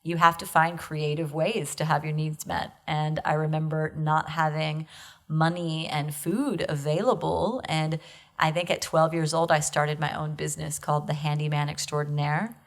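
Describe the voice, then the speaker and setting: Low-voiced woman from Texas. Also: level tone, normal speed. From Texas, USA. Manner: reflective, laughs easily, many fillers. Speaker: podcast guest. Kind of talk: casual conversational storytelling.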